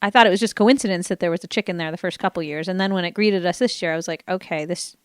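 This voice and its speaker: A woman's voice: lowish voice, rapid-fire, clean tone, dry recording, clean studio sound. A woman from Vermont co-hosting a community radio show, chatting casually in a playful, teasing way. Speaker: radio co-host. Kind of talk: casual chat. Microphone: studio microphone.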